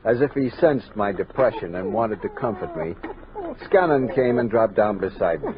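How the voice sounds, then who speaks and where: A man's voice: lowish voice, expressive, highs cut off, crowd noise, close to an everyday voice. An actor with an American accent playing a grave, commanding army captain who narrates his expedition journal in a radio drama. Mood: sombre, brooding. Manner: measured, literary storytelling with crisp orders. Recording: band-limited 1960s studio radio recording with music.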